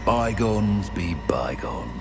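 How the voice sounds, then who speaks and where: An actor playing a sardonic, imperious goblin villain in a video game, deliberate and threatening. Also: male voice, sits low, very animated, creaky, exaggerated voice. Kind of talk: video game cutscene acting. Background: loud dramatic music.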